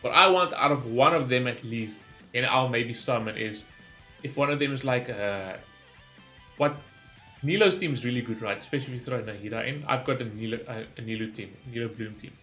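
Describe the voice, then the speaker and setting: A man gives casual reaction commentary for a gaming channel. He rambles and thinks aloud in an informal way, with a mid-pitched voice over faint music. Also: fairly quick, bright, forceful tone.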